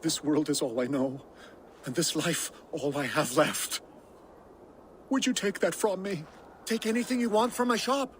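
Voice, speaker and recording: A man's voice: fairly high pitch, measured pace, long pauses, a little hiss or hum, lightly acted. An actor playing a sly, cowardly male merchant in a video game cutscene, with a wheedling, pleading salesman's patter. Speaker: merchant character.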